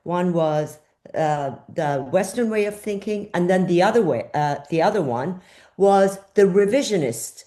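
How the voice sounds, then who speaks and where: An older woman from Iran with a lowish voice speaks as an interview guest. She answers thoughtfully and often pauses on fillers while she searches for words. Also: lively intonation, warm tone. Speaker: journalist guest.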